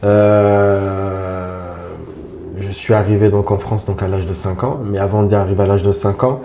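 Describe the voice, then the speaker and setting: A man's voice: on the low side, flat delivery, measured pace, mellow, a little hiss or hum. A man in his forties from Congo, a podcast guest talking casually and hesitantly about his life. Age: 40s. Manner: hesitant, many fillers and restarts, informal. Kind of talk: casual interview talk. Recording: roomy echo with faint music.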